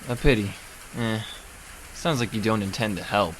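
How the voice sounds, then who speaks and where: A man acting a weary, laid-back and mildly wry schoolteacher in a fan dub of a game, with a low-key, sighing delivery. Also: moderate pace, slight background noise, natural voice, poor audio. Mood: resigned, wry.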